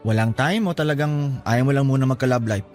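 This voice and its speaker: A modest young man voiced by an actor in a romantic audio drama, gently teasing an old flame. His voice sits at a middle pitch, with music playing softly behind it. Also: a little fast, close to an everyday voice. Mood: wistful, friendly. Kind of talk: Filipino audio drama acting.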